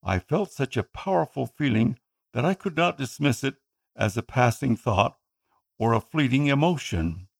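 Earnest gravelly man. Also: mid-range voice, expressive. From Philippines. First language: Tagalog. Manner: earnest, measured reading. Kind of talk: scripted first-person narration.

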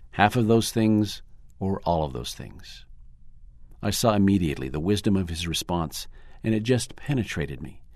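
A man reading a first-person article aloud in a measured, earnest way. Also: sits low, darkish tone, no echo, clean studio sound. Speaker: narrator.

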